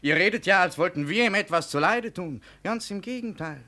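An actor in a 1950s German radio play playing a brusque, bullying restaurateur and fiancé, brisk and dismissive. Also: male voice, high voice, expressive, pushed and bright. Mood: smooth, impatient.

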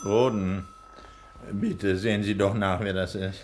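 A man's voice: on the low side, fairly even intonation, unhurried, darkish tone, slight background noise, natural voice. An actor playing a composed, middle-aged doctor and father in a 1960s radio crime drama. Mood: measured.

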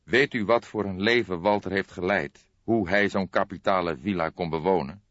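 A man voicing a grey-haired veteran police detective, kindly but insistent, questioning deliberately in an audiobook. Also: low-pitched, a bit bright, dry recording, dull audio. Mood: insistent, intense. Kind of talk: audiobook character voice.

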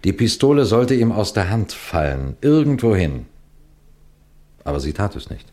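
An actor playing a seasoned, methodical detective chief in a 1960s German radio crime drama, lecturing with deliberate precision. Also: male voice, fairly low pitch, very animated, a little mellow. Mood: measured explaining.

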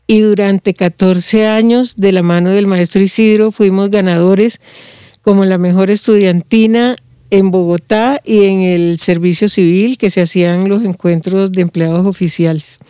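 Colombian coastal woman, mellow clear voice. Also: mid-range voice, no echo, clean studio sound. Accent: Colombian Caribbean coast. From Barranquilla, Colombia. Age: 60s. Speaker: musician guest. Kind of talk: interview conversation.

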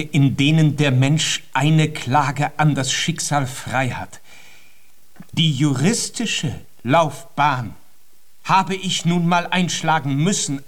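An actor in a German radio drama playing an earnest, deferential young musician who speaks formally and courteously. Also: male voice, medium pitch. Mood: plaintive.

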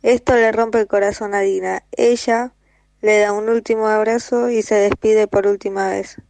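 Casting: young female story reader, monotone delivery, dry recording, horror tale. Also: medium pitch, moderate pace, no echo. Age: teenager. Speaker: student narrator. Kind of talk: scripted horror story narration.

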